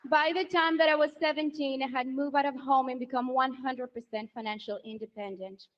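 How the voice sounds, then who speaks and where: High-voiced woman giving a rally speech. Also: steady tone, brisk, highs cut off. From Venezuela. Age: 30s. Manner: emotional, rousing, builds to shouted applause lines. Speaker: political spouse introducing candidate. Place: large outdoor rally before a crowd. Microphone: handheld mic.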